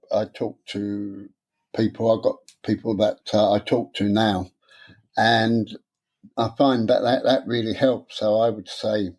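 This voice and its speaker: A man's voice: medium pitch, a little mellow. A man, a guest in an interview, speaking casually with a stammer, often repeating words and hesitating.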